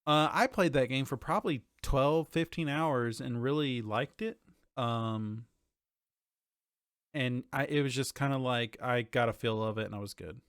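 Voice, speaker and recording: A man's voice: medium pitch, unhurried, clean tone, no room sound, studio quality. A man co-hosting a video game podcast in casual banter, joking and swearing freely. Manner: joking, sweary, laughs easily. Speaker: podcast co-host.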